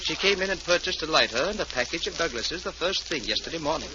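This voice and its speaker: A man's voice: a little high, expressive, fast talker, close to an everyday voice. A man playing a prim, obliging tobacco shop clerk in an old-time radio play, formal and deferential. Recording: muffled, noisy old radio recording.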